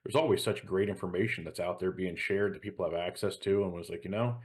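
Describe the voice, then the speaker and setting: A man's voice: sits low, fast. A middle-aged man co-hosting a show, explaining things in an earnest, conversational way. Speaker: show co-host.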